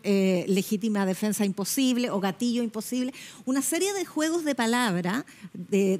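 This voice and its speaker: A woman's voice: on the high side, darkish tone. Pointed woman critic on a tv panel. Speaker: journalist panelist. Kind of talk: television panel debate. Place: television studio.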